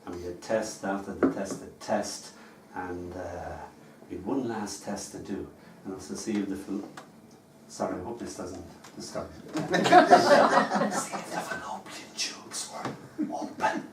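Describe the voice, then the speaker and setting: A man's voice: low voice, big pitch swings, unhurried, very noisy. Irish man, poet on stage, wry storyteller, echoey room, mic far off. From Ireland. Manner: chatty, wry storytelling with comic asides between poems. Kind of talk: live poetry reading with spoken anecdotes. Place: small live performance venue. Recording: echoey room picked up from a distance.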